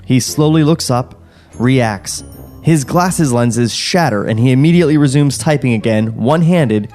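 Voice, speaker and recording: A man narrates a screenplay at a table read, reading the stage directions in a middle-pitched voice. Faint music sits behind him. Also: lively intonation, normal speed, a little mellow.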